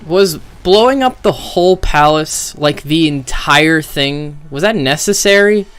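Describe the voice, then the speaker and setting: A man's voice: fairly high pitch, animated, unhurried, a bit bright, clean tone. A man giving casual, slangy streamer commentary on video game cutscenes, with swearing and incredulous questions.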